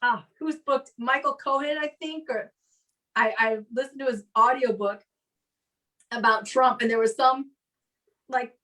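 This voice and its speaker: A high-pitched woman hosts a casual comedy chat. She talks in an animated, chatty way, and her mic sounds uneven and a bit far off. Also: a little slow, pauses often. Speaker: comedy show host. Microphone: live video call.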